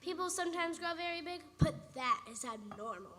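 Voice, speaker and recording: Woman doing earnest know-it-all little girl, really high bright voice, radio play. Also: animated, brisk, a little hiss or hum, full character voice. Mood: matter-of-fact. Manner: earnest, literal, precise. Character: precocious, matter-of-fact little girl.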